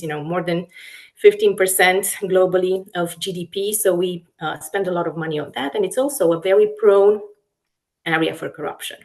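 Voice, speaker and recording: Romanian-accented woman researcher, lower-pitched voice. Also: a little mellow. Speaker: academic researcher, webinar lecturer. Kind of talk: explanatory webinar talk.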